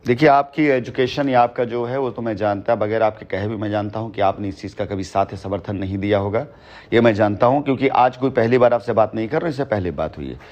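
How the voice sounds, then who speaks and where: A man's voice: fairly low pitch, fast talker, a little mellow. A man on a recorded phone call, explaining at length in an emphatic, long-winded way and repeating his phrases for weight. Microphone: telephone.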